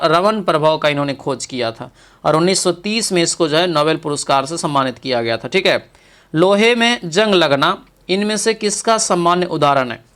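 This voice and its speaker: A man's voice: fairly high pitch, a bit bright. A man teaching an exam practice lesson, reading each question aloud and then confirming the answer.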